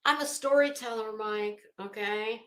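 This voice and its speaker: A woman's voice: fairly high pitch, unhurried, a little hiss or hum. A middle-aged woman chatting casually as a livestream host, greeting viewers with teasing, salty banter. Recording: echoey room.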